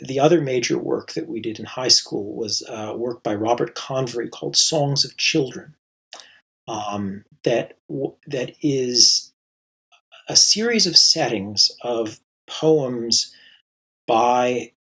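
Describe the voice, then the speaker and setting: Husky, halting male composer. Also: mid-range voice, a bit bright, rough recording. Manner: thoughtful, halting, many fillers and restarts. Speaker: composer guest. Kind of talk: reflective interview answers.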